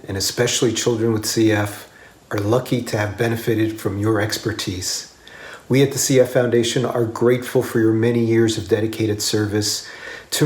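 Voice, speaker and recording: A man from a foundation delivering a measured, formal congratulatory message. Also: fairly low pitch. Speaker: foundation representative. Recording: echoey room.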